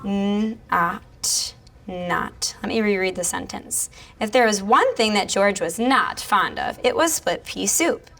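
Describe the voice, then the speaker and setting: A woman's voice: mid-range voice, lively intonation, a little slow, vocal fry, fairly bright tone. A woman who teaches elementary school, explaining reading strategies and reading aloud in an instructional way.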